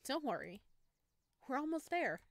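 Woman playing a kind young man, visual novel companion, gentle, reassuring, dry sound. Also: high voice, sing-song, measured pace, dry recording, voice pushed up, heavily acted.

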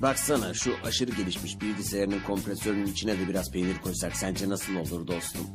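Guy doing lowish cartoon sidekick dude, teasing wisecracks, music blasting behind. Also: steady tone, brisk, close to an everyday voice. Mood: eager, scheming. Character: mischievous wisecracking young sidekick, teasing buddy. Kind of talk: Turkish-dubbed cartoon acting.

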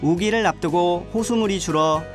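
Man narrating a travel documentary over music. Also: high-pitched. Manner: calm, measured storytelling. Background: background music.

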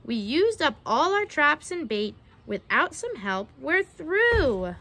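Animated young woman reading aloud, high-pitched. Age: young adult. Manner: expressive, dramatizes the verses and pauses to ask questions. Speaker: read-aloud storyteller. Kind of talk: children's picture book read-aloud with questions to young listeners. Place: outdoors on a wooden backyard deck.

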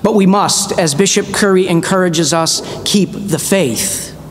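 A middle-aged man with a notably high voice delivers an earnest sermon from a church pulpit, slowing down to lay weight on his key phrases. His words carry a long echo through the sanctuary, with a faint low rumble beneath them. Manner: measured, earnest preaching with slow emphatic phrases. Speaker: priest.

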